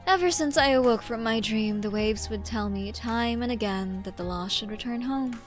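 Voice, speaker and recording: A woman voicing a solemn, stoic female sea warrior in game dialogue, in measured formal speech. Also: a little high, faint music behind, natural voice. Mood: solemn, resolute. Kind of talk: game dialogue read aloud.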